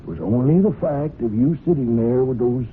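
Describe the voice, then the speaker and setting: An actor playing an older, paternal judge in a vintage radio drama, deliberate and gravely persistent as he admonishes. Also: medium pitch, animated, close to an everyday voice. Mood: reproving. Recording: muffled, noisy old radio broadcast recording.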